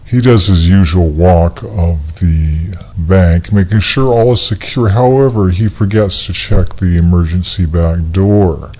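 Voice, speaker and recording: A man narrating a scripted radio play as a measured storyteller. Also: sits low, warm tone.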